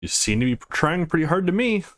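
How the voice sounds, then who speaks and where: A man's voice: fairly high pitch, very animated, a little fast, voice pushed up. A man voicing a scrappy, teasing young woman gamer in a visual novel, taunting and combative. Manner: taunting, feisty.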